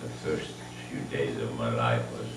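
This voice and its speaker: A hundred-year-old man from Egypt, reminiscing unscripted to an audience in a halting way, searching for his words. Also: very deep voice, fairly even intonation, vocal fry. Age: 100. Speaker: honoree at a birthday tribute. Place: large echoey room with an audience. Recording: echoey room, distant mic, background noise.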